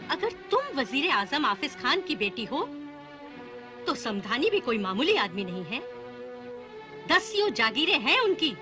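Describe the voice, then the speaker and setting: An actress playing an exasperated, imperious noble mother in a period serial, haughty and scolding. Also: sits high. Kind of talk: formal Urdu-flavoured period serial acting.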